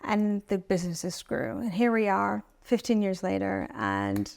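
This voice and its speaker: A woman's voice: mid-range voice, lively intonation, unhurried, creaky, darkish tone. A middle-aged woman from Italy, an interior designer, talking chattily and enthusiastically with many fillers as a guest in a client's house. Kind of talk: conversational interview.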